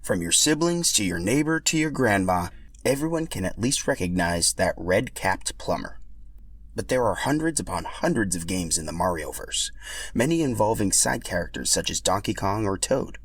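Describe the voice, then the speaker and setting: A man's voice: sits low, big pitch swings, moderate pace, a bit bright, slightly rough, no room sound. A man hosting a podcast and reading scripted narration as a storyteller.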